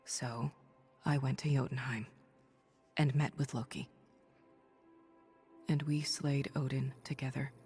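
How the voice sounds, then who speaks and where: A woman voicing a weary, noble superhero thunder goddess for a video game, confiding in measured, breathy speech. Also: extremely low pitch, fairly even intonation, warm tone, big-room sound, soft background music, full character voice. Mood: somber. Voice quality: breathy, husky. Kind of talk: video game voice acting, dramatic dialogue and audio-log monologue.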